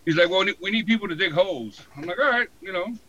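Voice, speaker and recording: An American man in his forties, telling his story casually in an interview with a halting, colloquial delivery full of "you know". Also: sits high, bright, forceful tone, lo-fi sound, a little hiss or hum. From San Diego, born in Hawaii. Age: 40s. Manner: halting, colloquial, frequent 'you know'. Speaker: podcast guest, fire protection inspector.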